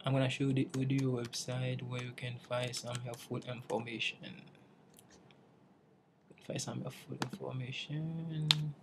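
A young man from Haiti walks his classmates through a slide presentation in English, which he has been learning. He speaks slowly and carefully in a mid-range voice, sometimes restarting a phrase as he searches for the right words. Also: medium pitch, level tone, gravelly, noisy background. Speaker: English language student presenting.

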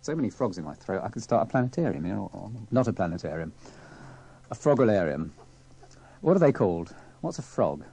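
Gravelly, husky low-voiced man. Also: sing-song, a little fast, dark tone. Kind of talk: rambling ad-lib on radio.

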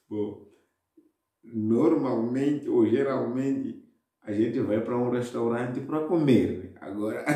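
A man's voice: medium pitch, dark tone. A young Mozambican man with a Mozambican Portuguese accent, hosting a reaction video with cheerful, laughing asides. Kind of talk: casual reaction commentary. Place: indoors against a plain wall. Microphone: wired earphone mic. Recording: echoey, distant room sound.

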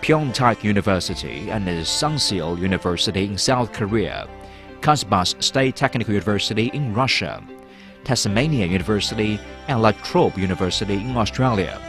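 Formal male voice-over over music. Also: lowish voice. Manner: formal, measured. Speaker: narrator. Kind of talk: scripted promotional narration. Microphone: studio voice-over.

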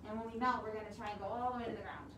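A woman's voice: mid-range voice, fairly even intonation, racing. A woman hosting a preschool storytime, speaking simply and encouragingly to small children through a cloth mask in a library room. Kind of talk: read-aloud and instructions for young children. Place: library room with wooden walls and windows, indoors. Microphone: camera a few feet away, speaking through cloth mask. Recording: roomy, distant mic with strong noise.

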